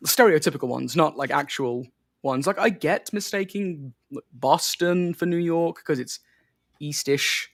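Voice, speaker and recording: A man co-hosting a casual film watch-party, jokey and quick to laugh. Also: a little high. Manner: animated, jokey, laughs often. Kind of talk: casual film commentary chat.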